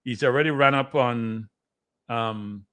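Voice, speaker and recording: A man's voice: mid-range voice, measured pace, bright, forceful tone. A man hosting a watchalong, giving casual live sports commentary. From Jamaica.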